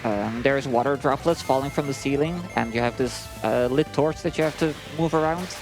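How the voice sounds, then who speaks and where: A man adds relaxed, conversational remarks as a gaming co-commentator, feeling his way through sentences with lots of "like". His voice is mid-pitched, and game music plays faintly behind him. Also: fairly quick, low-quality recording. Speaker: co-commentator at a speedrun. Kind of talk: casual gameplay commentary. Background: video game music.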